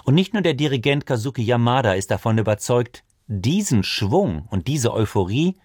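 A man's voice: medium pitch, sing-song, moderate pace, darkish tone, dry recording, clean studio sound. A man presenting a music programme on the radio, reading scripted narration.

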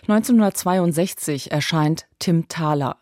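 A woman narrating a scripted radio feature in a measured, even storytelling manner. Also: deep voice, no echo, studio quality.

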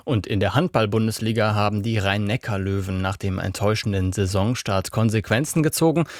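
A man's voice: fairly low pitch, fairly quick, no echo, clean studio sound. A man presenting a scripted sports news programme on the radio.

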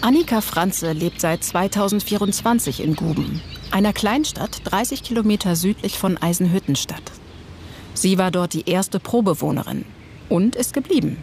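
A reporter reading scripted radio feature narration in measured, terse phrases. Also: mid-range voice, lively intonation, darkish tone, a little hiss or hum.

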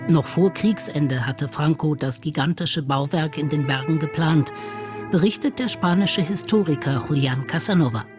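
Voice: mid-range voice, normal speed, over music. A narrator reading a scripted radio documentary.

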